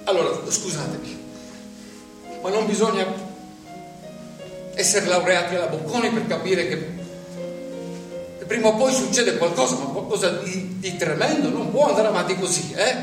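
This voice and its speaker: An actor playing a restless jobless man who talks haltingly to a group, repeating himself in a stage monologue. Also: sits high, lightly acted. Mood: rambling. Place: theatre stage. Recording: roomy distant stage recording, loud music.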